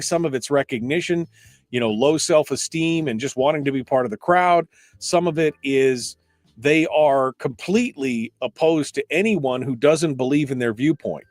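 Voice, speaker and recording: A man's voice: a little high, no echo. Man hosting opinionated talk radio, dry sound. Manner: conversational, emphatic, rambling with rhetorical questions. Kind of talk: opinionated live talk radio monologue with listener calls.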